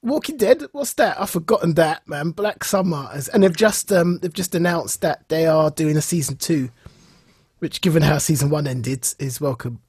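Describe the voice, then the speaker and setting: A man co-hosting a podcast, talking casually and haltingly, repeating words for emphasis. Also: on the high side, expressive, a little mellow, husky, no echo, clean studio sound. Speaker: podcast co-host. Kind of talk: casual chat.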